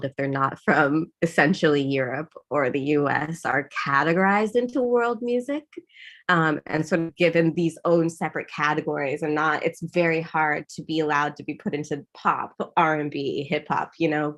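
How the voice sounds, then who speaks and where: Low, gravelly-voiced woman from Zimbabwe. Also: steady tone, moderate pace, fairly bright tone. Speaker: songwriter. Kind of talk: conversational interview.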